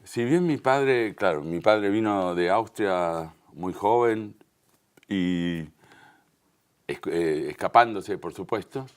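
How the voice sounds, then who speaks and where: A man's voice: low voice, animated, slow pace. A man from the Río de la Plata with a Rioplatense Spanish accent, answering as an interview guest in an explanatory, emphatic way. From Uruguay and Argentina, Río de la Plata. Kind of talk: conversational television news interview.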